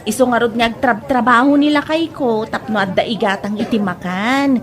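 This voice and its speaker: High-voiced gossipy Ilocano village wife acted by a woman. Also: normal speed, a crowd in the background, close to an everyday voice. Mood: chatty. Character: nosy village wife and mother, frank market companion. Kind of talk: Ilocano comedy drama acting.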